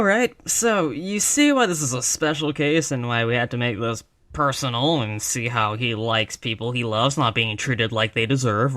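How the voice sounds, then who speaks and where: A man's voice: medium pitch, fairly bright tone, natural voice. A man playing an exasperated, wry mafia boss in a conversational roleplay audio drama. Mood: wry, indignant.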